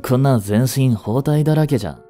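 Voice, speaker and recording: A man voicing a devoted, protective young butler in a romantic voice drama, frantic while searching a fire and soft and tender at a bedside. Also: mid-range voice, normal speed, soft background music. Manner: soft, gentle and intimate in the bedside scene.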